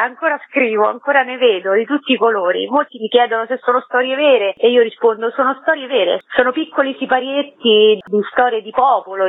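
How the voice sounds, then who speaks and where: A woman's voice: fairly high pitch, dry recording. A woman being interviewed as an author by telephone, talking in a chatty, expansive and descriptive way. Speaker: author interviewed about their book of short stories. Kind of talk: casual phone interview. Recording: tinny telephone line on radio.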